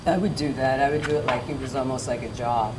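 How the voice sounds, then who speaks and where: A woman with a very deep voice speaks emphatically in a casual, conversational way on a panel. Room noise runs under the recording. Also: steady tone, quick pace. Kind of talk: panel discussion.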